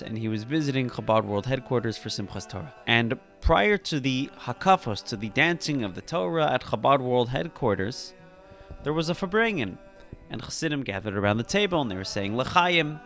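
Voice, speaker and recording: A man, a rabbi, giving an earnest, emphatic teaching that rises to animated peaks. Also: mid-range voice, normal speed, faint music behind. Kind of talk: sermon-style teaching.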